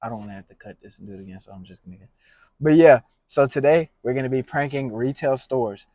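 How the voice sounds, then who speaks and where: Husky guy doing a prank vlog, brash slang and cussing, loose casual talk. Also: medium pitch, fast talker, dark tone, no echo. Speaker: prank video creator. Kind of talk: casual profane vlog chat.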